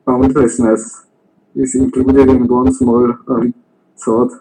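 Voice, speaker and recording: A man from Austria, calling into a live stream and speaking slowly, pausing often with ums as he searches for words in English. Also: medium pitch, flat delivery, mellow, poor audio.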